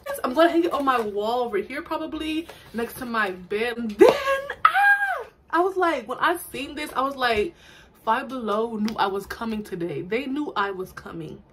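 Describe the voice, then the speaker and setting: A woman's voice: on the high side, sing-song, normal speed, a bit bright, slight background noise. A young woman in her twenties, chatty and gushing, in a casual shopping haul vlog recorded at home. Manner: chatty, gushing, says "y'all" and "girl" a lot. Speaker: vlogger. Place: bedroom at home, indoors.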